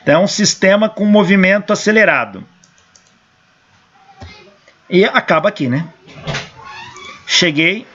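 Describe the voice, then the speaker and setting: A hoarse male engineering professor with a Brazilian Portuguese accent, working through equations step by step and answering his own questions, on a dull lo-fi recording. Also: sits high, expressive, a little slow, long pauses, a bit bright.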